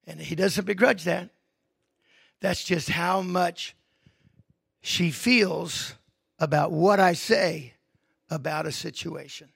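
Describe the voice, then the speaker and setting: A middle-aged male pastor preaching a sermon, moving from quiet reflection to loud, emphatic points. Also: high voice, slow pace, gravelly, pauses often, breathy edge, no room sound, clean studio sound.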